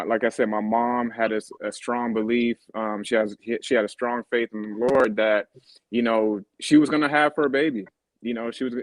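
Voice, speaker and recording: Man, 30s, interview guest; halting, filler-heavy delivery, dry close sound. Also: mid-range voice, dry recording, rough recording. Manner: halting, many fillers and restarts. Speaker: guest, kidney advocate. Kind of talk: casual interview talk.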